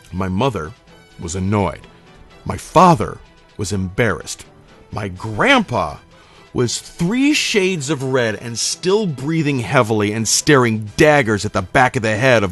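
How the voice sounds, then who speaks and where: Male storyteller, low-pitched and very animated, emphatic and salty-tongued, scripted comic delivery over a music bed. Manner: dramatic, profane, emphatic. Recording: music playing under the voice. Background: background music.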